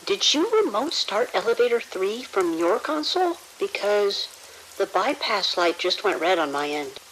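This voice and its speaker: A woman's voice: medium pitch, very animated, moderate pace, a bit bright, a little hiss or hum, rough recording. A woman playing a nervous security officer on night shift in an audio drama, speaking hesitantly with stammers. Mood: wary. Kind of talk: immersive audio drama acting.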